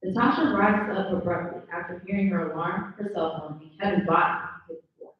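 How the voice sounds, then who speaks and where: A woman's voice: on the low side, a little fast. A woman reading scripted stage directions aloud as the narrator of a table read. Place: live in-person event room. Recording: echoey room, distant muffled mic.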